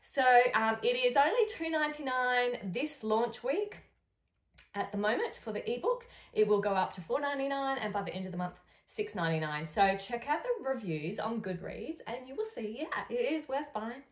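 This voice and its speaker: A woman's voice: a little high, normal speed. Echoey, chatty Australian woman. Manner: playful, animated, chatty. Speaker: author promoting a novel. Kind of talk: casual promotional chat to camera. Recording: echoey room sound.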